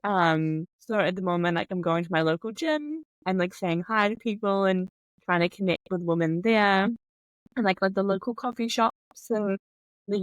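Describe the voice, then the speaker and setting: A woman's voice: mid-range voice, no echo. A young woman from New Zealand with a New Zealand accent, a podcast guest talking openly in a rambling way, full of "like". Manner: open and rambling, many fillers like "like". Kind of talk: casual conversational chat.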